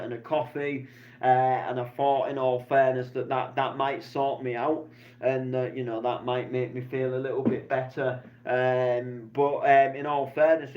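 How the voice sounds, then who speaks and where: A man, chatting in a loose, meandering way and filling his sentences with ums and likes. His voice sits at an ordinary middle pitch. The recording sounds a little dull and lo-fi. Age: 20s. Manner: chatty, meandering, many fillers and restarts. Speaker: DJ vlogger. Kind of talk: rambling casual vlog.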